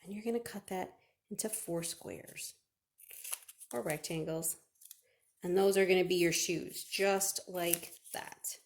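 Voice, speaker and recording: A woman's voice: lowish voice, steady tone, slow, creaky, long pauses, a little hiss or hum. A woman chatting through a step-by-step craft for children, asking questions and answering them herself. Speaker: children's librarian leading a craft.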